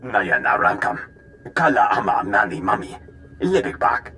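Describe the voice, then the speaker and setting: An excitable game alien, with a bright, forceful tone. The pitch leaps around as it delivers choppy, emphatic phrases. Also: male voice, moderate pace, slight background noise, heavily acted. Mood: brisk. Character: excitable alien speaking a made-up tongue. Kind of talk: video game alien character acting.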